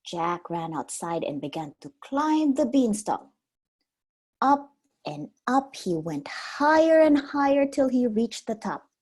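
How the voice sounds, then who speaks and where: A woman tells a children's story like a preschool teacher, in an expressive, animated way. Her voice is fairly high and slightly husky, and she takes her time. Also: slow. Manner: explains words simply for small children.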